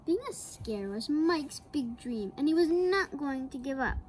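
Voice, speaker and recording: A woman reading a children's picture book aloud, slowly and with playful asides to the young listener. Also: high-pitched, lively intonation, a little mellow, a little hiss or hum. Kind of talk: read-aloud storytelling for kids.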